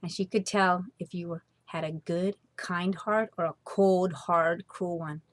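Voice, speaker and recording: A woman reading a children's story aloud as storyteller, expressive and dramatic with deliberate phrasing. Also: on the low side, no echo.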